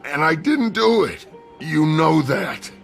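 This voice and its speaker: A man playing a gruff, down-and-out woodsman, a bitter and self-loathing brute with a gravelly voice, in a dramatic video game. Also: on the high side, sing-song, slow, music in the background, exaggerated voice. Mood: panicked, pleading. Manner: coarse, swearing, rambling.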